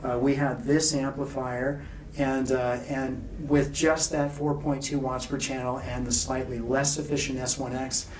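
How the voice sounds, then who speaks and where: A man being interviewed at a trade show, explaining technical details in a measured, informal way. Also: medium pitch, flat delivery, recorded from a distance. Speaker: loudspeaker company founder being interviewed. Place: exhibit room at an audio show. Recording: off-mic, noisy exhibit room.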